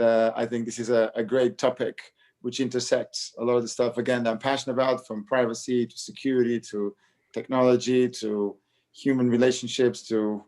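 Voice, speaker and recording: A man's voice: mid-range voice, darkish tone. A man who helps lead the event, introducing himself enthusiastically with some restarts. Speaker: event organizer.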